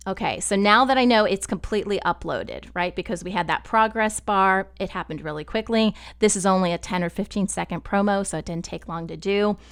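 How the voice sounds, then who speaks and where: A woman giving a conversational, step-by-step software tutorial in an explanatory style. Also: mid-range voice, a little fast, few pauses, clean tone. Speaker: podcast network instructor.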